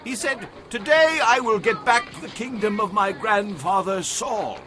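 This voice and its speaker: A man's voice: sits high, a little slow, music in the background, a crowd in the background. An actor playing a deferential, polite male servant eager to please in an audio Bible drama. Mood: obliging.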